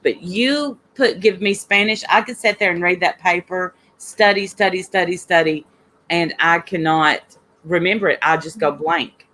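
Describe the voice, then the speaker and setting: A woman's voice: on the low side, moderate pace, a bit bright. Woman host, casual chat, candid, self-deprecating, swears casually.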